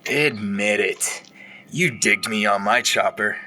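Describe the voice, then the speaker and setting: A man's voice: on the low side, slow pace, sharp tone, a little hiss or hum. A man playing a teasing, joking husband trading banter in an audio drama. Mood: playful. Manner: joking, chuckling.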